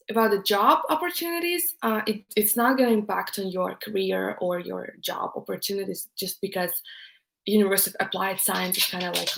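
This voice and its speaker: A woman's voice: mid-range voice, creaky, background noise. A young woman in her twenties with a Russian accent, chatting casually on a livestream and answering viewer questions with many fillers and restarts.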